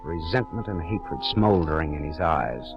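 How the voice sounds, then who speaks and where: A man's voice: a bass voice, lively intonation, moderate pace. An actor playing a wry, tense male private detective who narrates in clipped, hard-boiled 1940s radio style. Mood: tense narration. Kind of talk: 1940s radio drama acting. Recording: dull lo-fi 1940s radio broadcast. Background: dramatic music score.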